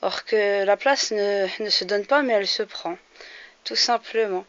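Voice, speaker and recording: A woman's voice: mid-range voice, unhurried, fairly bright tone, clear, resonant tone, no room sound. A woman in her forties from France, speaking to camera in an earnest opinion monologue that turns heated and emphatic, with frequent hesitations. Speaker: vlogger. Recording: slightly dull lo-fi recording.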